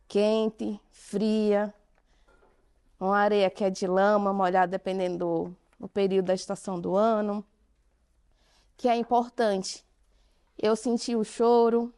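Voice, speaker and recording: A woman with a Brazilian Portuguese accent giving an interactive conference talk, questioning the audience and speaking with emphatic pauses. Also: medium pitch, a little mellow, clear, resonant tone.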